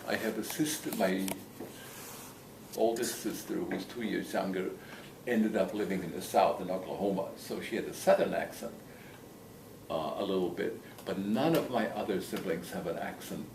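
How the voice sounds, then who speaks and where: A man's voice: low-pitched, normal speed, vocal fry. Older male interviewee, slight Latvian accent, casual self-deprecating storyteller, roomy sound, away from mic. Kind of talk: casual reminiscence. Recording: echoey room, distant mic, noisy background.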